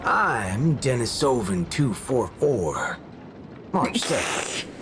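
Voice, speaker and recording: An actor playing a cocky, laconic cowboy gunslinger in a game, with a dry American Southern drawl. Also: male voice, mid-range voice, very animated, takes it slowly, noisy background. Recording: game music underneath.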